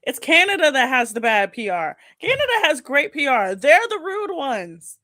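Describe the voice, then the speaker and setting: A woman's voice: high-pitched, sing-song, normal speed, sharp tone, no echo. An American woman hosting a podcast, chatting casually with a gossipy, slangy way of talking. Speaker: podcast host. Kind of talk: casual chat.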